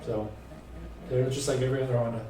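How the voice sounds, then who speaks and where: Relaxed forty-year-old man, distant mic. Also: mid-range voice, background noise. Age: 40s. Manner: relaxed, fills pauses with um. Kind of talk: casual round-table chat. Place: car shop. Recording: roomy room, distant mic, faint ambience.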